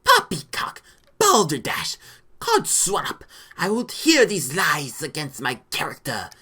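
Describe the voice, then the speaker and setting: A woman's voice: medium pitch, huge pitch swings, pushed and bright, voice pushed up, heavily acted. A woman voicing a big ugly lizard man, a pompous storybook villain who talks in grandiose, theatrical, pleading exclamations. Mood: indignant. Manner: grandiose, melodramatic, drawn-out exclamations.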